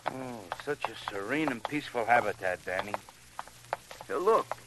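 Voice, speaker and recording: A man's voice: mid-range voice, expressive, moderate pace, close to an everyday voice. An actor playing a wry, loyal male police sidekick in a 1950s radio crime drama. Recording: noisy old radio recording.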